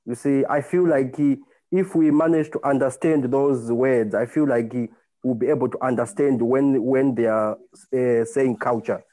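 A man with a Zimbabwean accent giving his view in an online discussion, speaking deliberately with hesitant pauses. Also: mid-range voice, steady tone, dark tone, no echo, low-quality recording. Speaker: participant in a group discussion. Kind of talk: spoken contribution to an online panel discussion.

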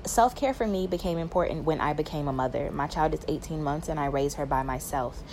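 A woman's voice: low voice, fairly quick, a little mellow, slight background noise. A nineteen-year-old woman from Atlanta, a young mother, speaking thoughtfully in a voice note. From Atlanta, Georgia. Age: young adult. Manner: thoughtful, plain-spoken. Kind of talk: personal voice memo.

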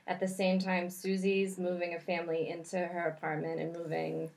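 A woman hosting a podcast, her voice sitting a little low. She talks in an effusive, eager rush of casual chat. It is recorded cleanly on a studio microphone. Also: completely flat delivery, moderate pace, creaky, darkish tone. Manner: effusive and eager, piling up words. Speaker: podcast co-host.

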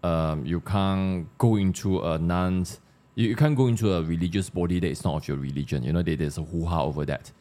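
A man with a very deep, mellow voice and a Malaysian accent. He talks informally, as in a podcast discussion. His phrases come out haltingly, with words repeated while he works out the thought. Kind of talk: casual discussion of social issues.

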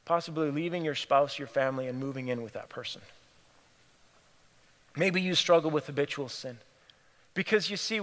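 A man's voice: on the high side, sharp tone. A man from Cameroon preaching a sermon in an earnest way, repeating phrases for emphasis. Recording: long hall reverb.

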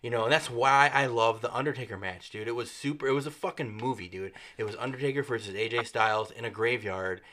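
A man's voice: mid-range voice, fast, hardly any pauses, sharp tone. A man co-hosting a podcast, talking emphatically with lots of swearing and "dude.". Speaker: podcast co-host. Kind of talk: casual chat.

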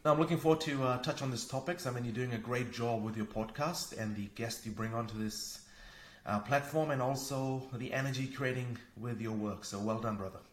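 A man's voice: mid-range voice, fairly quick. A man from Fiji speaking as a podcast guest, explaining himself conversationally and reflectively. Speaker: podcast guest, consultant and coach. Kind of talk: conversational interview. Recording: roomy, echoey sound.

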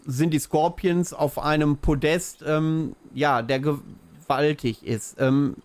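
Podcast host, man with a fairly high voice, asks long questions full of fillers. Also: steady tone, takes it slowly, a little mellow. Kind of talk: interviewing, casual talk.

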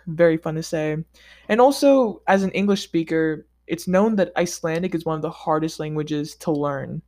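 A man vlogging in a casual, upbeat monologue, chatty and easygoing with relaxed slang. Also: a little high. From United States. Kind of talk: casual scripted monologue ranking countries.

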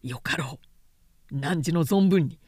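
A woman voicing a calm, cautious male warlord with weighty, lordly, old-fashioned speech in an audiobook reading. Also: low-pitched, takes it slowly, slightly rough, dry recording, clean studio sound, voice pushed down, heavily acted. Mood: approving, commanding. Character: calm, cautious, dignified warlord, middle-aged man.

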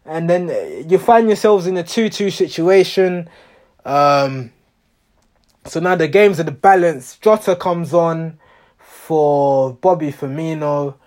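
A man with a hoarse voice recapping a football match as a passionate, exasperated fan, often repeating himself. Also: on the high side, slow pace, darkish tone.

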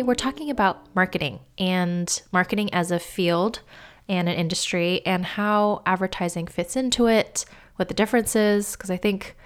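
Woman host, gravelly from a cough. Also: on the low side. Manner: clear, measured and explanatory, with soft laughs. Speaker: podcast host. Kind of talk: solo explainer talk.

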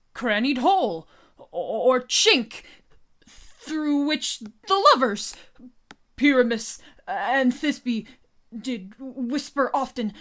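A woman playing a simple, earnest amateur actor who presents himself as a wall in a halting, laboured recital in a Shakespeare radio comedy. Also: a little high, a bit bright, heavily acted.

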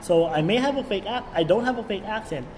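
A man's voice: high-pitched, lively intonation, fast, a little mellow. A young Filipino man raised in Saudi Arabia, chatting casually in slangy, blended international English as a podcast guest. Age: young adult. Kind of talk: casual chat. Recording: long hall reverb, faint background noise.

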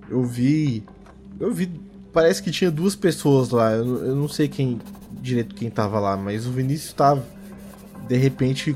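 A man's voice: mid-range voice, a little mellow, lightly acted. A man playing a nervous office worker questioned as a witness, hesitant, stammering and repeating his words in a tabletop game. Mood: flustered, recounting.

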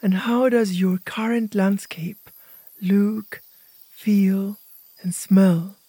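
A woman narrating a guided imagery meditation as host, speaking gently in short, hushed phrases with pauses. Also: mid-range voice, steady tone, breathy edge, dry recording.

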